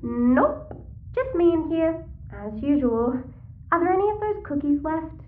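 A woman's voice: high voice, big pitch swings, unhurried, narrow, tinny audio. A woman acting in an audio drama as a chatty, blunt, sheltered young girl, playful and frank. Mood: breezy.

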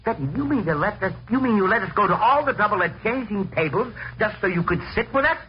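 A man's voice: high-pitched, animated, quick pace. A man playing a flustered, exasperated middle-aged father in a 1940s radio comedy, sputtering and blustering. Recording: muffled, hissy 1940s radio broadcast.

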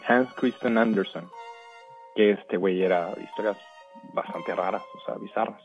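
A man's voice: fairly low pitch, normal speed. A man chatting casually as a podcast co-host. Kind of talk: casual chat. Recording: thin phone-like sound, faint music. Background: music.

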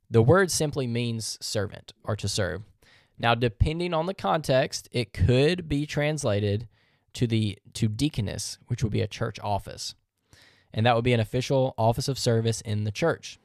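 A man's voice: medium pitch, moderate pace, a little mellow, no room sound, clean studio sound. A man hosting a podcast, giving an earnest, methodical scripture teaching monologue with rhetorical questions and verses read aloud. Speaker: podcast host.

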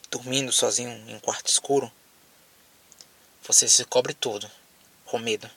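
Gravelly, lonely Brazilian survivor played by a man. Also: mid-range voice, bright, forceful tone, recorded from a distance, full character voice. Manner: hesitant, halting, repeats words. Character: lonely frightened male apocalypse survivor, anguished, pleading. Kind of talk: audio drama monologue spoken as a phone call.

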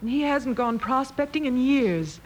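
An American actress playing an anxious, earnest young frontier daughter in a radio western, speaking with open emotion. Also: female voice, high-pitched, expressive, moderate pace, darkish tone, low-quality recording. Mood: worried. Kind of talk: 1950s radio western acting. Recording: old radio recording, faint noise.

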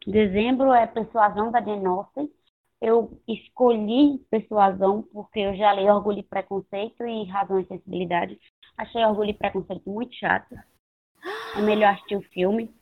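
A hoarse woman with a Northeastern Brazilian accent co-hosting a casual book podcast, blunt, slangy and given to swearing. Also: mid-range voice, steady tone, moderate pace. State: hoarse from two days of partying. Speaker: podcast co-host. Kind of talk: casual chat about books. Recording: tinny phone-like line.

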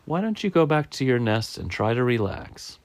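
Someone reading as a patient bird in a kids book, sing-song pitch, calm reassuring explainer. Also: normal speed, dry recording. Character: sensible, patient bird calming a worried friend. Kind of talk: picture-book read-aloud.

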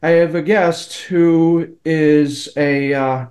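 A man with a slightly high voice hosts an interview podcast. He talks casually and haltingly, often searching for the next phrase. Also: steady tone, darkish tone. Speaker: podcast host.

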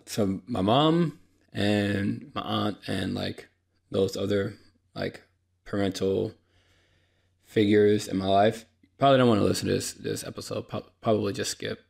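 A man's voice: fairly low pitch, level tone, unhurried, creaky, darkish tone. A young man hosting a solo podcast, talking in a tired, apologetic way, with lots of fillers and restarts, his voice a bit dry. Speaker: podcast host. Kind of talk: unscripted solo podcast monologue. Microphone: podcast microphone.

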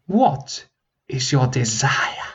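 A man voicing a scheming, slippery male dragon in a storybook reading, with a shrill, hissing voice and slow, drawn-out, mocking speech. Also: sing-song, a bit bright, husky, highs cut off, exaggerated voice. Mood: menacing.

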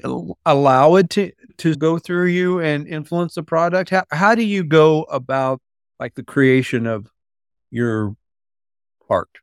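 A man hosts a casual interview in a voice a little higher than most men's. He thinks aloud, interrupting and reworking his own questions. The recording is dry and free of room sound. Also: measured pace, clean tone, no echo. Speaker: interview host.

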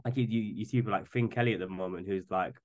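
A man's voice: lowish voice, fairly even intonation, fast. A man in his twenties in casual podcast chat, rambling and often stumbling over repeated words as he starts a thought. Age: 20s. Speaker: podcast guest.

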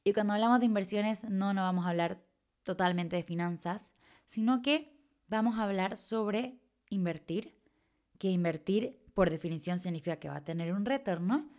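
A woman's voice: medium pitch, moderate pace, a little mellow. A young woman from Chile with a Chilean Spanish accent, hosting a reflective solo podcast and sometimes stretching words or dropping to a whisper for emphasis. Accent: Chilean Spanish, slightly mixed. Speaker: podcast host and coach.

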